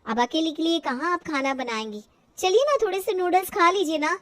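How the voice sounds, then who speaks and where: A woman's voice: very high-pitched, lively intonation, fairly quick, fairly bright tone, no room sound, voice pushed up. A woman voicing a polite, caring young daughter-in-law, earnest and coaxing, in a family story.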